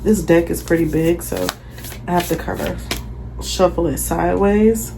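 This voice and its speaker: A woman's voice: on the low side, normal speed, gravelly, noisy background. A young woman with a stuffy nose from a cold, chatting casually as she reads tarot cards in her bedroom, often checking in with the viewer. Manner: chatty, affirming, checks in with "okay?". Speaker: tarot reader. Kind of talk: casual tarot reading vlog. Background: jazz music playing.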